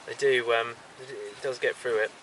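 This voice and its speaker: Solo sailor vlog voice: man, mid pitch, halting and casual, recorded outdoors at sea with clear wind and water noise. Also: fairly even intonation, a bit bright, low-quality recording. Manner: halting, lots of ums and restarts. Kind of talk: casual video diary. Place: outdoors on a small sailboat at sea. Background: wind, water and boat sounds.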